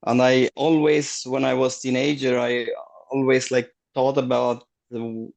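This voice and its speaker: A middle-aged man, a guest on a video call, telling his story haltingly and searching for words. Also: mid-range voice, flat delivery, gravelly, a little mellow. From Afghanistan. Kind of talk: casual personal story.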